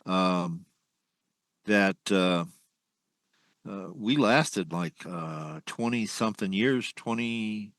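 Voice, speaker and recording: Low-voiced older man reminiscing. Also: extremely slow, pauses often, a bit bright, dry recording, clean studio sound. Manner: rambling, reminiscing, many ums. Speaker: guest, musician. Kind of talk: casual interview chat.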